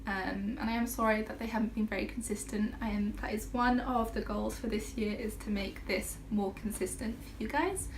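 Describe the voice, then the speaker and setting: A woman in her twenties from the UK, chatting casually to camera in a rambling way, with many fillers and soft laughs. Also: mid-range voice. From United Kingdom. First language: half Brazilian, Portuguese spoken at home. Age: 20s. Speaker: vlogger. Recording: noticeable background noise.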